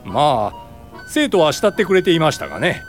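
A man's voice: fairly high pitch, very animated, moderate pace, soft background music. A man playing a pompous, lecturing former school principal turned child welfare officer in a radio drama. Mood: self-satisfied. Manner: lecturing, self-important, indignant.

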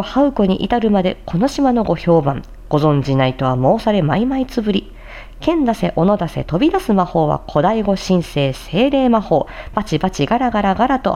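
A woman's voice: mid-range voice, dark tone, dull audio. A woman who hosts a tongue-twister challenge like a voice actor, moving between carefully enunciated theatrical recitation and polite, chatty commentary. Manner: polite, chatty asides to viewers.

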